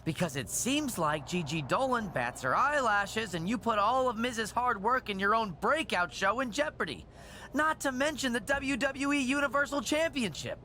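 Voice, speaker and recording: A man's voice: extremely high pitch, expressive, normal speed, bright, forceful tone, slight background noise, exaggerated voice. An actor playing an officious, pompous male assistant in a video game, scolding on the boss's behalf. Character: officious, nagging assistant of a show boss. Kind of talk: video game cutscene dialogue.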